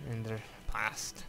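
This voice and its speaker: A man's voice: lowish voice, vocal fry. A man from Hungary with a Hungarian accent, commenting hesitantly on game footage in careful English, with many fillers and restarts. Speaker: game reviewer. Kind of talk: gameplay commentary and review. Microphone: voice-over over game footage.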